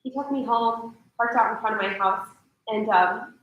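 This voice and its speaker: A young actress in a staged reading playing a shaken, apologetic woman confiding in friends, speaking haltingly. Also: a little high, dull audio, lightly acted. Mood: hesitant, shaken. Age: young adult. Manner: halting, hesitant. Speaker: actor. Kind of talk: staged play reading, naturalistic drama. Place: large echoey indoor room. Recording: echoey hall, distant microphone.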